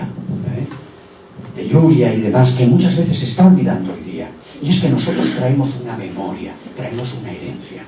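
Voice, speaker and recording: A man's voice: medium pitch, mellow. A man giving a conference talk from slides, speaking discursively, often tagging phrases with "eh?" and "okay?", and addressing the audience directly. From Barcelona, Spain. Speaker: conference speaker presenting a therapy method. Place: conference hall. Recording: echoey hall, distant mic, faint hum.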